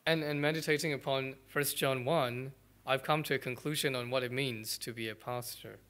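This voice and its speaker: A man's voice: mid-range voice, fairly even intonation, moderate pace, a bit bright. A man from Malaysia with a Malaysian accent, preaching a sermon as a pastor in short, earnest phrases. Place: church.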